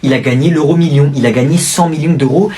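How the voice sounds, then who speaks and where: A man's voice: a little high, warm tone. Boastful slangy young man. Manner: boastful, fast slangy teen talk. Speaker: skit actor. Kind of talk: comedy skit, playing a schoolboy.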